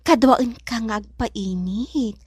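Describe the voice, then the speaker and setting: A woman's voice: fairly high pitch, slow pace, a little mellow, slightly rough, no room sound, studio quality. A woman acting a coy, forward female neighbour who coos flirtatiously in an Ilocano radio comedy drama, with an Ilocano accent. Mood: coy, flirty. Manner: coy, cooing, giggly.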